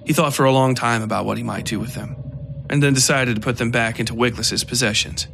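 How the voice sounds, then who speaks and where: A man narrating a dark horror fiction story in a steady, measured way. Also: medium pitch, creaky, faint music behind.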